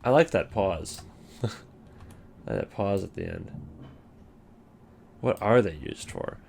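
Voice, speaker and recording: A man's voice: deep voice, slow pace, vocal fry, a little mellow, slight background noise. A man giving casual, unscripted video commentary, rambling and thinking aloud in a wry way. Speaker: video host ranking game voice lines.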